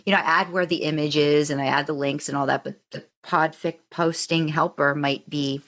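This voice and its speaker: A woman's voice: low-pitched, fairly even intonation, a little fast, fairly bright tone. A woman hosting a podcast in casual conversation, chatty and informal in the way she talks. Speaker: podcast host and podficcer.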